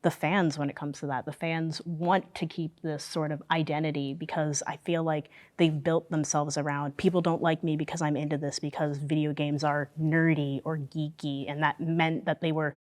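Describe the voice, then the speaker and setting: A woman's voice: low voice, flat delivery, moderate pace, few pauses, a little mellow. A woman speaking conversationally in a panel discussion, explaining her thoughts in a reflective way. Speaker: panel participant, gamer.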